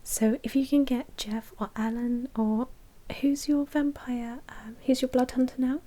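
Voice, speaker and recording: A woman voicing a polite, obliging vampire blood bank manager in a soft, whispered ASMR roleplay, with courteous customer-service patter. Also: high-pitched, steady tone, measured pace. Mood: courteous, sympathetic.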